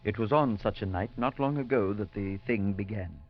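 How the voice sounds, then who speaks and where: An actor playing an earnest, kindly male priest who narrates a 1940s radio drama in a measured storytelling manner. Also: lowish voice, faint music behind, lightly acted. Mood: narrating. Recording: dull 1940s radio broadcast.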